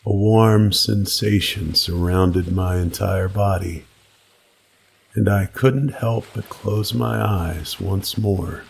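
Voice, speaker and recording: A man narrating a creepy first-person story in a slow, measured, brooding and dramatic way. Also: sits low, creaky, slightly rough. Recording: faint background noise.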